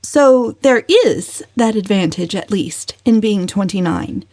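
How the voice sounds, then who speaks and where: A woman voicing a defiant, witty woman of twenty-nine with a calm, sardonic delivery in a storybook reading. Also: mid-range voice, no room sound, natural voice. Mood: dry defiance. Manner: calm, dryly sardonic, deliberate. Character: defiant, witty, unflappable 29-year-old unmarried woman.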